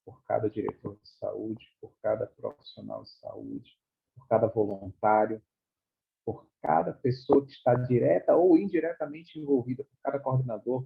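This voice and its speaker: A man's voice: on the low side, creaky, husky. A man with a Brazilian Portuguese accent giving earnest, prayerful closing words in an online class. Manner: earnest, repetitive prayerful delivery. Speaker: guest speaker. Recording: muffled lo-fi audio.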